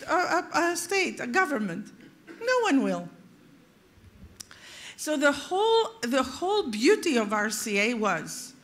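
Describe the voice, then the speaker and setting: Woman, fairly high voice, panel expert who argues emphatically with pointed rhetorical questions. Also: fairly bright tone. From Greece. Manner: emphatic, rhetorical questions, addresses listeners directly. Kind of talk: panel discussion, unscripted explanation.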